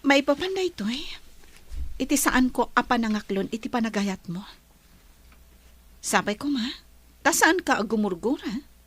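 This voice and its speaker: Actress doing gentle young ilocano woman in a radio drama, high breathy voice, tender and pleading. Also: animated, unhurried, long pauses. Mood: apologetic, pleading.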